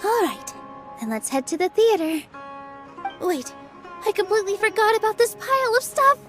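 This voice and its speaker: A high-pitched young woman speaks over clear music. She is a gentle, cheerful theater dancer from a video game. Her manner is polite, earnest and modest. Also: very animated, moderate pace, exaggerated voice. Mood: cheerful, flustered.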